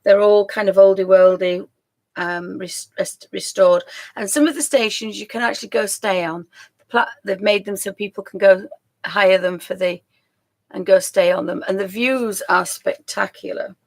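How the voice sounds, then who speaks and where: A woman hosting a live stream, chatting casually and rambling with a croaky voice, often laughing and trailing off. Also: medium pitch, steady tone, normal speed.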